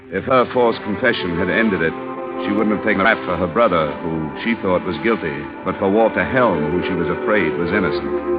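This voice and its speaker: A man's voice: low voice, lightly acted. An actor playing a tough insurance investigator narrating his case in a 1950s radio drama. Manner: clipped, matter-of-fact narration. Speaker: lead of radio detective series. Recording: muffled old radio recording with music.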